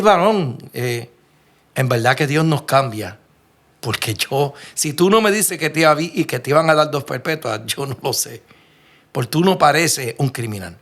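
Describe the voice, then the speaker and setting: Puerto Rican preacher, fairly high voice. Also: male voice, a bit bright. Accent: Puerto Rican Spanish. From Puerto Rico. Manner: earnest storytelling, frequent restarts and rhetorical questions. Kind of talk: sermon.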